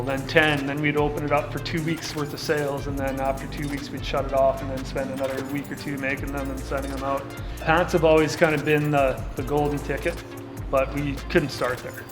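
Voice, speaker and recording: A middle-aged man from Canada speaking casually in a documentary interview, with lots of filler words. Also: medium pitch. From Saskatchewan, Canada. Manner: relaxed and casual, with many filler likes. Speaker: co-founder and designer. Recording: interview with music playing underneath.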